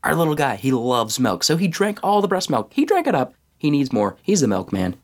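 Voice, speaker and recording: A man's voice: lowish voice, expressive, brisk, vocal fry, fairly bright tone, breathy edge, exaggerated voice. A man playing a frantic, exhausted new father in a comedic narrated story, rambling and over-anxious. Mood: frantic, confused. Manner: incoherent, pleading, over-anxious.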